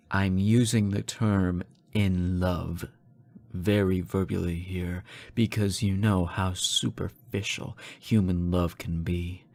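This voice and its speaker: Guy doing a lovesick unhinged fallen angel, lowish voice, slow n halting, bitter audio roleplay monologue. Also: steady tone, creaky, heavily acted. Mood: disdainful, brooding. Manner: theatrical, halting, sighing, swinging from hushed to frantic. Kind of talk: dramatic audio roleplay monologue addressed to a lover.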